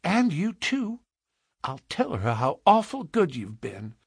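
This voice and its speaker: Man putting on a high, prattling little girl. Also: wildly swooping pitch, takes it slowly, creaky, slightly rough, no room sound, studio quality, heavily acted. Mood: gleeful, trusting. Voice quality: thin, lighter voice. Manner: childlike, earnest, artless prattle.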